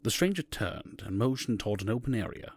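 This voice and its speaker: A man's voice: on the low side, animated, brisk, a little hiss or hum. A man narrating a scripted fantasy story as its narrator.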